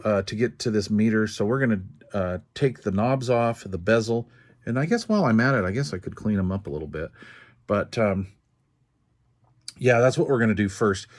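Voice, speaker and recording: An older man with an American accent and a fairly low voice. He talks through hands-on repair work casually and without hurry, thinking aloud in a plain, folksy way. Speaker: radio repair video host.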